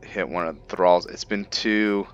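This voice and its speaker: A man's voice: low-pitched, steady tone, a little slow, clean tone, lo-fi sound. A man giving casual roleplay gameplay commentary, thinking aloud and searching for his words. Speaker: gaming video host.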